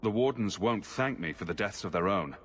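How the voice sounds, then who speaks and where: A man's voice: fairly low pitch, expressive, fairly quick, fairly bright tone, husky. A man playing a resolute, plain-spoken adventurer hero in fantasy video game dialogue, direct and firm. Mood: questioning, firm.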